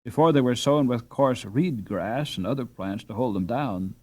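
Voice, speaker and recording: A man reading a classic novel aloud as an audiobook narrator, in a measured, expressive storytelling delivery. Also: medium pitch, husky.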